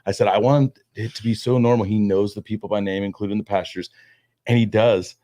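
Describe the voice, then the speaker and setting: A man in his thirties chatting as a podcast guest, his words tumbling out fast with lots of restarts and casual slang. His voice sits a little low. The recording is close and dry, with no room sound. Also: fairly even intonation, dark tone, dry recording. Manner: quick, loose talk with many restarts and "dude". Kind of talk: casual conversation.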